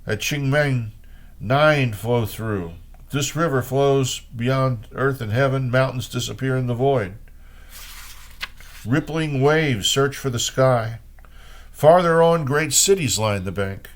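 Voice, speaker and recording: A man reads poetry aloud at a very slow pace. His voice sits at a medium pitch. He leaves space between short phrases, presenting each line as a reader and host. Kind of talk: poetry reading with brief spoken commentary.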